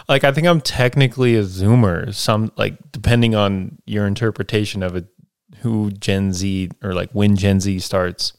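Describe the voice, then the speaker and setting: A man in his late twenties talks alone into a close mic, his lowish voice smooth and resonant. The sound is dry and intimate. He rambles and reflects, checking in with little "right?" tags as he goes. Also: unhurried, no echo. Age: 20s. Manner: rambling, reflective, lots of fillers and tag questions. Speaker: podcast host. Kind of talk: casual solo podcast monologue.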